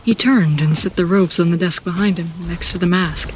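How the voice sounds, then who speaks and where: A woman playing an introspective seasoned warrior who narrates her own story in an audio drama, reflective and deliberate. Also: lowish voice, fairly even intonation, slight background noise, close to an everyday voice. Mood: pensive. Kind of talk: first-person scripted audio drama narration.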